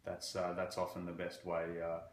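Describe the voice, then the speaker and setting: A man from Croatia working as a banking relationship manager, answering interview questions in a halting way with many fillers. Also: low-pitched, monotone, reverberant, a little hiss or hum. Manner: halting, with frequent fillers and restarts.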